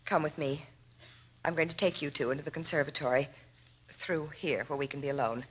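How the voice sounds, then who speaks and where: Anxious young heiress, bright husky voice. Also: female voice, sits low, a little fast. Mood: flustered, secretive. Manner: hesitant, formal, stammering over words. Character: anxious, genteel young heiress confiding a fear. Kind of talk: old-time radio drama acting.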